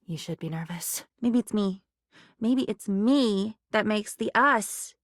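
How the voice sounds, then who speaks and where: A woman's voice: mid-range voice, lively intonation, slow pace, dry recording, studio quality. A woman voicing an anxious, lonely living diary in a video game, rambling and disjointed, lurching between moods and volumes in a theatrical way. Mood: nervous, teasing.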